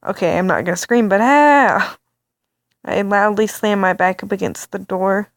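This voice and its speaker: A woman reads a story game aloud into a close mic, reacting as she goes and often stumbling over words. Her pitch sits mid-range, and the sound is dry with no room echo. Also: normal speed. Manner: animated, reactive, stammering asides. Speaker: let's play reader.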